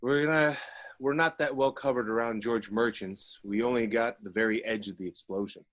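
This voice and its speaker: Man reading a grumbling CCTV watchman, dry, mid-pitched voice. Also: steady tone, moderate pace, a bit bright, close to an everyday voice. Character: gruff male village CCTV operator. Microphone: video call.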